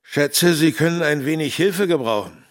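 A man playing a gruff, fearless innkeeper and former boxer, laconic, in a children's radio play. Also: a little high, natural voice. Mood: calm, determined. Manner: laconic, unhurried, stout-hearted.

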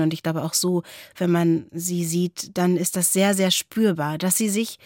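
A woman's voice: on the low side, darkish tone, no echo, clean studio sound. A woman hosting a podcast in a reflective, conversational way. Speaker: podcast host.

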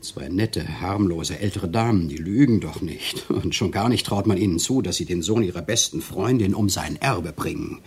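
An actor playing a smooth-talking, self-pitying conman lover in a German radio crime drama, intimate and theatrical, often dropping to murmurs. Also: low-pitched, moderate pace, hardly any pauses, darkish tone. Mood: persuasive, confiding.

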